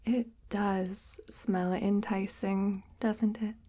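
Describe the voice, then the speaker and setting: Woman voicing a slow, hushed, sinister forest witch. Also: mid-range voice, little pitch movement. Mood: soothing, hospitable. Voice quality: breathy, often near-whispered. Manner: unhurried, formal, archaic-tinged, gently menacing. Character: soft-spoken, sinister, coaxing forest witch luring a lost traveler. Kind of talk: ASMR audio roleplay, slow intimate monologue.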